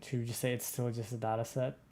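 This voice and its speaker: A man's voice: medium pitch, little pitch movement, quick pace, crowd noise. A man streaming a game, reacting and chatting casually during a let's play.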